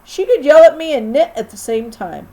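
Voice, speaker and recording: A woman teacher reading a children's novel aloud in an expressive storytelling style for a young class. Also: high voice, moderate pace, rough recording.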